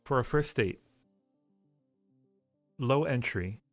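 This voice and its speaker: A man's voice: medium pitch, animated, takes it slowly. A man hosting a livestream, chatting casually with viewers in a rambling way, often repeating phrases as he goes. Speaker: livestream host. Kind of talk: casual chat with live stream viewers, rating ideas. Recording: roomy echo, faint music behind. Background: faint music.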